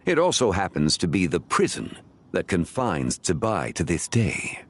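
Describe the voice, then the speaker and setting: Brief: a formal, knowing elder scholar; deep and measured, over faint game music. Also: male voice, wildly swooping pitch, breathy edge, heavily acted. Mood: calm. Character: calm ancient scholarly man, composed and knowing. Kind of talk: video game voice acting.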